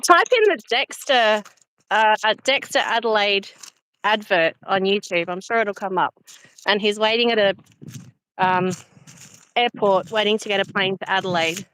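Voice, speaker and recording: A woman's voice: mid-range voice, normal speed, fairly bright tone, poor audio. A woman from South Australia with a South Australian accent, chatting and laughing on a livestream while she walks outdoors through a cemetery. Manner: chatty and giggly, reads out viewer comments. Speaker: livestream host. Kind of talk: casual livestream chat walking a cemetery.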